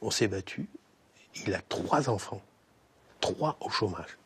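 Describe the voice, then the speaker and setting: An older man giving interview testimony, halting with many fillers. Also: lowish voice, animated, a bit bright. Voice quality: husky.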